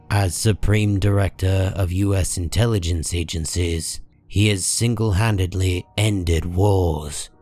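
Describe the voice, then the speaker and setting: A man narrating a comic book drama from a script in a grave, deliberate manner. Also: sits low, darkish tone, slightly rough.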